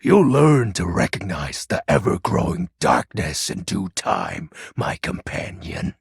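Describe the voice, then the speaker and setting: A pompous video game dark lord, super raspy n gravelly, slow dramatic delivery w flowery old-timey words. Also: male voice, sits low, very animated, no echo, studio quality, full character voice. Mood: solemn, proud. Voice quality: husky, gravelly. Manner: pompous, melodramatic, archaic flowery speech. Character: grandiose, theatrical self-proclaimed lord of shadows. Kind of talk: video game character voice acting.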